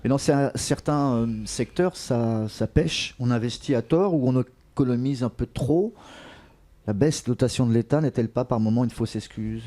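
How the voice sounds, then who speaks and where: A man's voice: medium pitch, normal speed, mellow. A man from France hosting a political show and putting questions to his guest. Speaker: political show host, interviewer.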